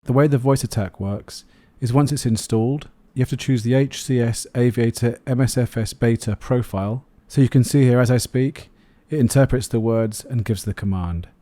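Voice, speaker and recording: Male review narrator for video, relaxed warm tone, close dry recording. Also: mid-range voice, normal speed, hardly any pauses, dry recording. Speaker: flight sim video host, reviewer. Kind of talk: scripted product review narration.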